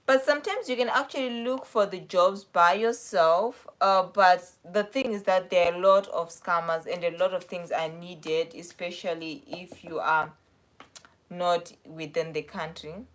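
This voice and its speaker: A young woman with a South African accent talks through viewer questions on a casual livestream. Her voice sits a little low, and she rambles and corrects herself as she goes. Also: fairly even intonation, unhurried. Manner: chatty, rambling, self-correcting. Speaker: livestream host.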